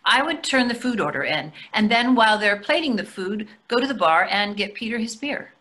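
A woman's voice: medium pitch, expressive, fairly quick, fairly bright tone, close to an everyday voice. A middle-aged woman playing an eager-to-please empty-nester wife in a comedy play reading, chatty and placating. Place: indoors on a video call. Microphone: video call microphone.

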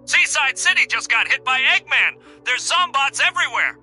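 Man voicing a brash, bright-voiced crocodile detective boss. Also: very high voice, very animated, moderate pace, soft background music, heavily acted. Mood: urgent, barking. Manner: commanding, barks orders. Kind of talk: comic dub voice acting.

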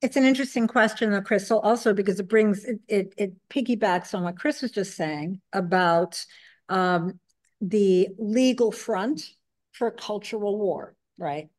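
A woman's voice: medium pitch, unhurried. A woman moderating an academic panel, speaking articulately with some restarts. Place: conference room panel table.

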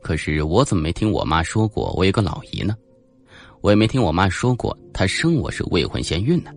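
A man voicing a dry, wry male psychologist who questions calmly in an audiobook. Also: low voice, a little fast, vocal fry, faint music behind, exaggerated voice.

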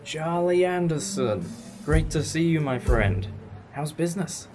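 A man's voice: fairly high pitch, expressive, measured pace. Guy acting as eccentric british time traveller, keen and professorial, audio drama with music under it. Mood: friendly.

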